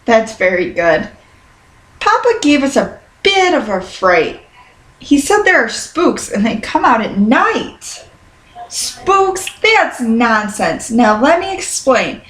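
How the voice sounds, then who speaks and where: A woman's voice: on the high side, big pitch swings, slow, a bit bright, exaggerated voice. A woman voicing a sensible, playful female bear scout leader from a children's storybook in a reassuring, explanatory way. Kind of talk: children's storybook read-aloud. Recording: roomy, echoing sound.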